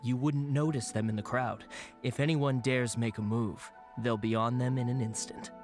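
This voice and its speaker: Man playing a steady, dutiful game guard. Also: mid-range voice, close to an everyday voice. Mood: firm, watchful. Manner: measured, formal, reassuring. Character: dutiful male city guard, steady and reassuring. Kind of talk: English video game voice acting. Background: game music.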